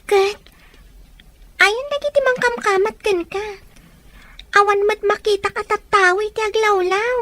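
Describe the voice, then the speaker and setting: A woman's voice: very high voice, steady tone, a little slow, pauses often. A woman playing a poor, resilient young orphan in an audio drama, plain-spoken and caring, with a northern Philippine accent. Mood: concerned, curious. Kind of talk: acted audio drama dialogue. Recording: faint background noise.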